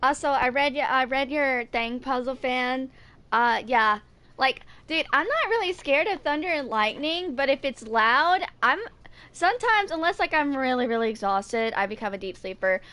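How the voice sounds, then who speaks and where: A woman's voice: high voice, animated, normal speed, bright, forceful tone. A woman in her twenties chatting casually and informally with stream viewers, rambling as she goes. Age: 20s. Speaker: livestreamer.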